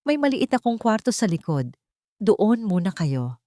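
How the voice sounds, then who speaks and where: A woman voicing a caring older female shopkeeper who speaks in a motherly, gently instructing way. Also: medium pitch, big pitch swings, unhurried, no echo, studio quality, pitched above the performer's own voice.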